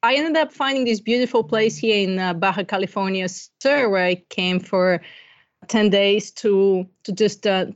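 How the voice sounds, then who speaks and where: Middle-aged woman, Bulgarian accent, conversational podcast guest, mid-pitched voice, slightly muffled lo-fi sound. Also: normal speed. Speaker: podcast guest, coach and entrepreneur. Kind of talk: conversational interview, telling life story.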